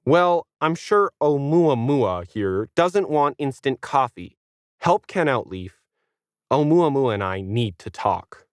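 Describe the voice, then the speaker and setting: A man's voice: medium pitch, very animated, slow pace, clean tone, dry recording, clean studio sound. A man voicing a bossy, haughty female talking dog, commanding and indignant, in an audio science fiction story. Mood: bossy, demanding.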